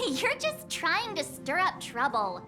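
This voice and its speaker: A woman's voice: extremely high pitch, huge pitch swings, takes it slowly, exaggerated voice. A young actress playing a bubbly, teasing young woman who is a member of a train crew. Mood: playful. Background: game soundtrack music.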